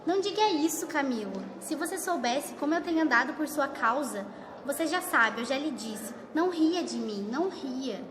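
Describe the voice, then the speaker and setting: A woman's voice: high voice, animated, normal speed, a crowd in the background. A woman acting an anxious, pleading wife in a secret affair, in an amateur radio drama. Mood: pleading, earnest. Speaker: student actor in a school radio play. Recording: noisy, echoey hall recording.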